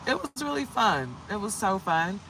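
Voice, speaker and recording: A hoarse woman from the United States doing a casual, upbeat tarot livestream and greeting viewers by name. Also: medium pitch, sing-song, moderate pace, a bit bright, a little hiss or hum, rough recording. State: hoarse from shouting. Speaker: tarot reader.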